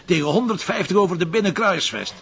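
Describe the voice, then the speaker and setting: A stubborn Flemish deputy commissioner in an audio drama. His voice is gravelly and animated, with a dry, insubordinate sarcasm. The sound is rather dull. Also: high voice, fast talker, exaggerated voice. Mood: indignant. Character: sardonic, stubborn male deputy police commissioner.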